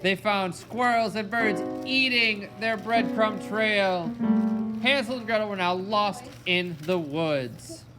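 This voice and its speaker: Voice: mid-range voice, slow pace, rough recording. A storyteller narrating a fairy tale for a children's puppet show. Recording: loud music alongside the voice. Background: music.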